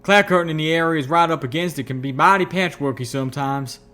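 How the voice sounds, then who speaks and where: A man's voice: fairly high pitch, fast, pushed and bright, voice pushed up. A man voicing a folksy, blunt young pegasus with an in an audiobook story. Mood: easygoing, explanatory. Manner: drawling, folksy, incredulous.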